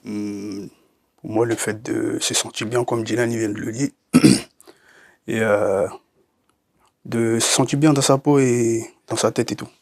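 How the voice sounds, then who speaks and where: A man's voice: on the low side, steady tone, slow pace, gravelly, long pauses, darkish tone, dry recording, clean studio sound. A man of twenty-two, a guest on a youth panel, speaking hesitantly with many fillers. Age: 20s. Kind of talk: casual discussion.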